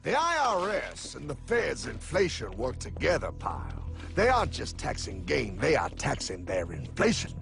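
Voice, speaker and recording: A man's voice: medium pitch, wildly swooping pitch, moderate pace, creaky, few pauses, over music, heavily acted. A man with an American accent voicing a folksy, sardonic wise-guy guide in a cartoon, explaining things theatrically. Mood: teasing, lecturing. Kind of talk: cartoon narration and dialogue.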